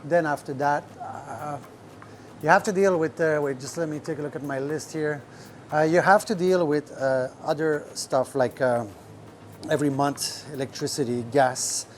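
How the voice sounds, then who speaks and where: Man talking shop, halting. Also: on the high side, slight background noise. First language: French. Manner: rambling, many fillers, searches for words. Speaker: restaurant owner. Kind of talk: casual podcast talk. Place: restaurant kitchen.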